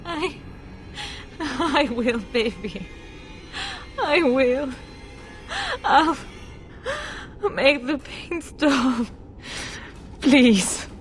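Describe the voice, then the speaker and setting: A woman playing a desperate, grieving noblewoman and mother in a video game, pleading in a halting, emotional way. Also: sits high. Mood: tearful pleading. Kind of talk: dramatic video game voice acting.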